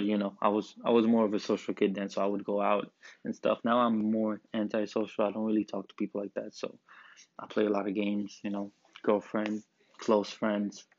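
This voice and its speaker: Young man, from Romania, late teens, lowish voice, casual rambling podcast talk, dull dry recording. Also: dry recording. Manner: laid-back, rambling, joking. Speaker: student podcast host. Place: back room of a school.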